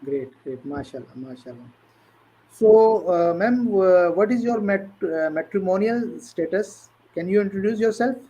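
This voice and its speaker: High-voiced Pakistani man hosting. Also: animated, a little slow, warm tone, a little hiss or hum, rough recording. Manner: hesitant, many fillers and restarts. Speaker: interview host. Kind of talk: live online interview.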